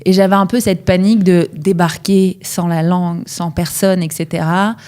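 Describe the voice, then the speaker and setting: A woman's voice: fairly low pitch, steady tone, normal speed, darkish tone. A woman from northern France in her thirties, a dance coach telling her story in a casual interview, hesitant with many fillers.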